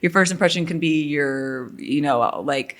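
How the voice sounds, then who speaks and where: A woman's voice: low-pitched, fairly quick. A woman talking as a podcast guest in casual interview chat, earnest and reflective, with frequent fillers and restarts. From United States.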